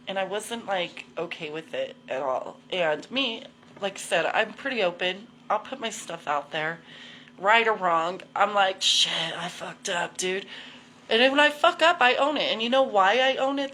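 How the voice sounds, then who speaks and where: A woman's voice: mid-range voice, sharp tone, slight background noise. A woman in her forties talking casually to livestream viewers, candid and slangy, swearing freely and repeating herself for emphasis. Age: 40s. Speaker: host of a live video show.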